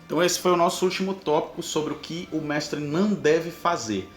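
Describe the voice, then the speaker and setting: Brazilian male podcast host, informal and explanatory, echoey room sound, soft music bed. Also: fairly high pitch, moderate pace. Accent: Brazilian Portuguese. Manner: explanatory, informal, addresses the listener directly. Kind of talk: conversational advice with examples.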